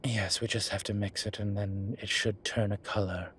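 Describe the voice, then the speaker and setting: A man playing a suave, vain incubus demon professor in an audio roleplay, speaking in a formal, measured, wryly teasing way. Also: on the low side, steady tone, a bit bright, slight background noise, natural voice. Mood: measured, serious.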